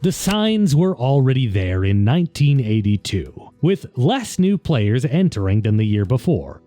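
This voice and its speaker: A man's voice: medium pitch, dark tone, dry recording. A man reading scripted documentary narration as the narrator of a video essay.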